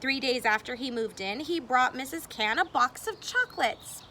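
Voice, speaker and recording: A woman reading a memoir aloud expressively, with deliberate phrasing and dramatic emphasis. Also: sits high, expressive, pushed and bright, a little hiss or hum. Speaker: reader.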